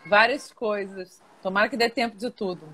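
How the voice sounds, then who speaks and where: A woman's voice: medium pitch, steady tone, normal speed, sharp tone. A woman hosting a live online interview, greeting viewers and prompting her guests. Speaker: host.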